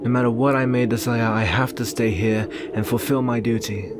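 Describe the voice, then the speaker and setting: A man playing a brooding, protective young northern warrior and devoted lover in an earnest, solemn ASMR roleplay with measured pauses. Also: medium pitch, fairly even intonation, close to an everyday voice. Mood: sorrowful. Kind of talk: ASMR roleplay, intimate slow dramatic monologue. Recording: very noisy ambient background.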